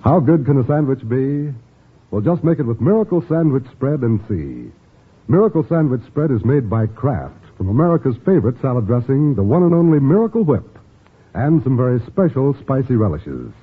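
A man with a husky voice reading a persuasive, savoring 1950s radio commercial. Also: mid-range voice, very animated, moderate pace. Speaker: commercial announcer. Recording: tinny old radio recording.

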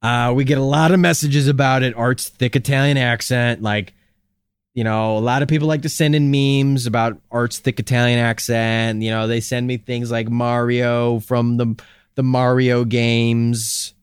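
Dry, smooth male co-host delivering deadpan improv bits for a comedy podcast, close and dead-sounding. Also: medium pitch, moderate pace, dry recording. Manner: deadpan, riffing absurd confessions.

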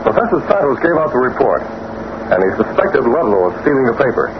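An actor playing a decisive, cool-headed crime-fighting newspaperman in a 1940s radio drama, with a brisk, commanding delivery. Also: mid-range voice, very animated, natural voice. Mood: calm, explaining. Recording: muffled, very noisy old radio recording.

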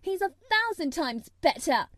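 A woman's voice: high-pitched, animated, normal speed, mellow, dry recording, studio quality, pitched above the performer's own voice. A woman voicing a sour, obstinate young girl who retorts fiercely, as in a storybook reading. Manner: defiant, retorting. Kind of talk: audiobook character voice.

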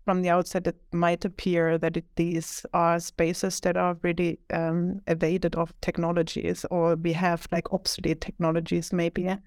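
A woman gives academic interview answers in a thoughtful, measured way. Her fairly low voice is smooth and clear, with little rise and fall. It is recorded close and dry. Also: monotone, darkish tone, dry recording. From Germany. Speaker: author, media scholar.

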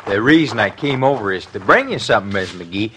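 An actor playing a homespun, sly neighbor in a classic radio comedy, with a hesitant, rambling way of talking. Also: male voice, fairly low pitch, lively intonation, brisk, a bit bright, slight background noise. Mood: friendly. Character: folksy, sly, neighborly man next door.

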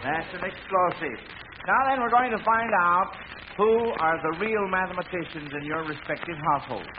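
A man hosting a 1940s radio quiz show, addressing contestants in a formal announcer's manner. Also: fairly high pitch. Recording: noisy lo-fi vintage radio broadcast.

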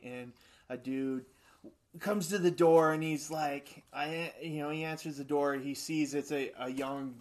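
Middle-aged guy, high and light voice, swaps loose, laughing anecdotes as a guest. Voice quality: high-pitched voice for his size. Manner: animated storyteller, acts out quotes with laughs. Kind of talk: casual storytelling chat.

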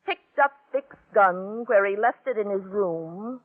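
A woman's voice: mid-range voice, unhurried, lightly acted. An actress playing a distraught, bitter woman in a 1950s radio crime drama, melodramatic and repeating her phrases. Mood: accusing. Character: distraught woman confronting her hired accomplice, overwrought, bitter. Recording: tinny, muffled vintage radio recording.

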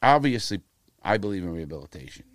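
A man's voice: sits low, quick pace, no echo, clean studio sound. A man in his fifties telling blunt, profane stories as an interview guest. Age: 50s. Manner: blunt, profane, animated storyteller. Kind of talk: casual interview storytelling.

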